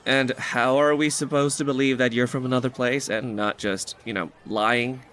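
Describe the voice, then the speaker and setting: A man's voice: medium pitch, fairly even intonation, moderate pace, a bit bright, slight background noise, natural voice. A man playing a distrustful, challenging boy who protects his friends, in an audio drama. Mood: suspicious. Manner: suspicious, challenging.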